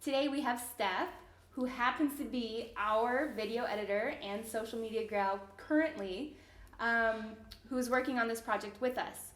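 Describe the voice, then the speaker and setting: A woman hosts a podcast and opens it in an upbeat, encouraging way, stretching some phrases out slowly. Her voice is a bit higher than average and echoes around the room. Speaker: podcast host. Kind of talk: casual interview.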